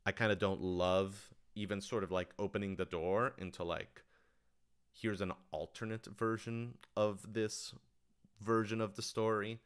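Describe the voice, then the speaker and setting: A man's voice: lowish voice, fairly even intonation, measured pace, clear, resonant tone. A man talking casually on a livestream Q&A, thinking aloud in a rambling, enthusiastic way. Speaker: writer-director of audio dramas answering questions.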